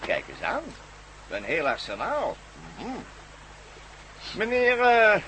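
A man's voice: sits high, wildly swooping pitch, slow, sharp tone, a little hiss or hum, heavily acted, rough recording. An actor playing a commanding villain captain in a radio drama, mocking and sardonic, gloating over his plans to rule the world. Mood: mocking politeness. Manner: mocking, sardonic, barks orders.

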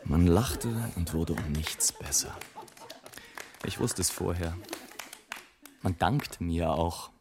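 An actor playing a sardonic, world-weary airship traveller, narrating in measured literary style in a radio play. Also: male voice, low voice, background noise. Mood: bored, bitter.

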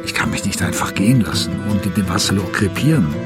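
A man's voice: deep voice, expressive, fast. An actor playing a brooding, stubborn male hotel guest in a radio play, telling his story confidingly, step by step. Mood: tense, recounting. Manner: confiding, recounting an ordeal step by step. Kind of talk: radio play dialogue and monologue. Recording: music behind the voice.

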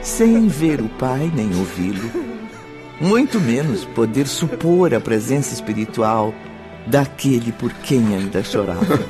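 A man's voice: mid-range voice, sing-song, a little slow, over music. A man narrating a radio drama as a solemn storyteller, in dramatic scripted style. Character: solemn narrator of a spiritist tale.

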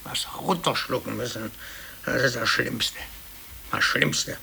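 An actor playing an aggrieved, self-pitying clerk in 1950s radio drama, brooding, grumbling and repeating himself when agitated. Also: male voice, mid-range voice, slow pace, gravelly, sharp tone, exaggerated voice. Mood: bitter, brooding. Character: aggrieved, self-pitying male office clerk, resentful of his boss. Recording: hissy old 1950s radio tape.

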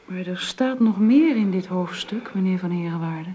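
An actress playing a sober, composed Bible reader in a radio drama, with a measured, formal delivery. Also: female voice, lowish voice, mellow, lo-fi sound, slight background noise, close to an everyday voice. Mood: solemn, composed.

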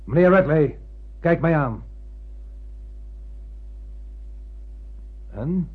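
Husky, obsessed old sea-captain of space. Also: male voice, on the high side, expressive, a little slow. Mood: defiant, intense. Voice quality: husky, raspy. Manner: brooding, declamatory, poetic. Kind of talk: radio play acting. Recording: muffled lo-fi radio play recording.

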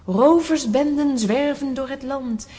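A woman's voice: high-pitched, measured pace, dark tone. A woman playing an earnest young princess in a children's audio drama, formal and pleading. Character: earnest young princess awaiting her throne.

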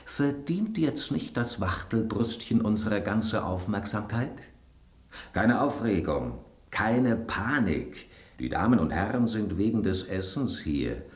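An actor in a German radio drama playing an aging, worldly bread loaf who loves fine food, speaking in a theatrical, knowing, pointed way. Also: male voice, lowish voice, very animated, slow, reverberant. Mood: suave, savoring. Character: aging bread loaf, male, worldly gourmet, suspicious, self-assured.

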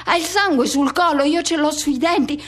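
Anguished lover, radio theatre monologue, higher-pitched, intense, pleading, halting short phrases, repetitive urgency. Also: female voice, a bit bright. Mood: agitated, pleading. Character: anguished lover recalling forbidden teenage love, intense and pleading.

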